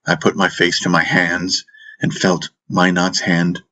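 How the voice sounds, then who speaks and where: A man's voice: low voice, completely flat delivery, a little slow, pushed and bright, poor audio. A man reading a fantasy audiobook as a dramatic first-person narrator, solemn and intense.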